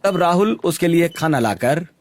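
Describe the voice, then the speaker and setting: A man's voice: fairly high pitch, animated, moderate pace, a little mellow. A man narrating a scripted story in a dramatic storytelling style.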